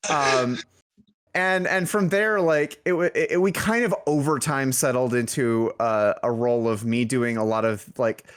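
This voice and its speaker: A man's voice: medium pitch, measured pace, clear, resonant tone. A man speaking as a game developer guest in a casual interview, rambling and often interrupting himself mid-sentence. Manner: rambling, self-interrupting, informal. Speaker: indie game developer and music lead, podcast guest.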